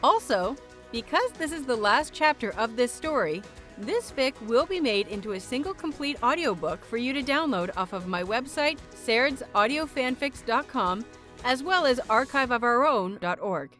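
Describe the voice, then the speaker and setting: A woman hosting and narrating a fiction audiobook in an expressive, carefully enunciated storytelling style. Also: fairly high pitch, normal speed, soft background music. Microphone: close microphone, dry recording.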